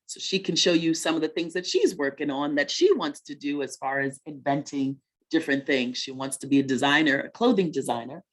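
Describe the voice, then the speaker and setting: Lower-pitched woman hosting children's story time. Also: lively intonation, a little fast. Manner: encouraging, teacher-like, echoes the child's answers. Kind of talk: conversational presenting to children, with leading questions.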